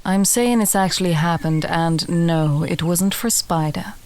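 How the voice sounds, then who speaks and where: A woman's voice: fairly low pitch, measured pace, darkish tone, close to an everyday voice. A woman playing a chatty, drily humorous alchemist and herb gatherer in a fantasy audio roleplay, talking straight to the listener. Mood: wry, amused. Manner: conversational, instructive, drily humorous.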